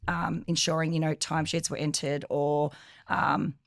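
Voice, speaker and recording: Young female guest, a bit of vocal fry, voice going, hesitant answers. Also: low-pitched, little pitch movement, normal speed, dry recording, clean studio sound. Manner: hesitant, many fillers. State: losing her voice. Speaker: project coordinator guest. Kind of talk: casual interview answers.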